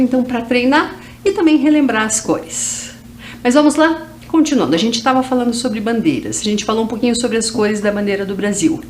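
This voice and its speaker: A woman's voice: fairly high pitch, a little hiss or hum. A woman from Brazil with a Brazilian Portuguese accent, teaching English to teens in a video lesson and drawing out each word as she drills it. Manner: slow, drawn-out drilling of English words.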